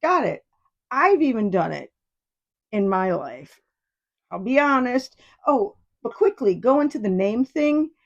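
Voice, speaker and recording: A woman's voice: on the high side, sing-song, a little slow, muffled recording. A woman in her fifties from New York State, hosting a solo podcast in a rambling, digressive and profane way. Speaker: podcast host. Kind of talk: casual solo podcast monologue.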